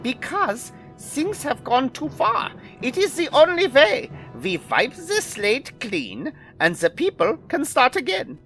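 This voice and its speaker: A woman's voice: sits high, sing-song, slow, hardly any pauses, a bit bright, faint music behind, full character voice. A woman acting a grandiose, theatrical villain with wounded pride in an audio drama, declaiming each speech. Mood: zealous.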